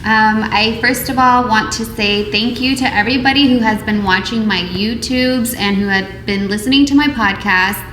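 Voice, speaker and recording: A woman hosting an interview podcast, chatting casually with many pauses and restarts. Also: on the high side, a bit bright, echoey room, a little hiss or hum, poor audio. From United States. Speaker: podcast host.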